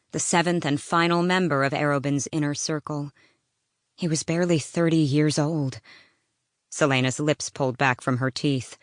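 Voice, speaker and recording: A woman reads fiction as an audiobook narrator. Her voice is low, and she tells the story with drama. The recording is dry, with very little room sound. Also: no echo.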